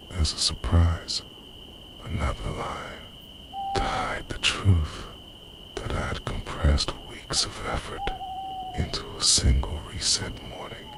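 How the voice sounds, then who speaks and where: A man playing an obsessive, devoted boyfriend in an intimate ASMR roleplay, speaking in a hushed, breathy, deliberate way that slips into whispers. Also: rumbling low, exaggerated voice. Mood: hushed, confessing. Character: obsessive, devoted time-travelling boyfriend, softly confessing. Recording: noisy night ambience with crickets.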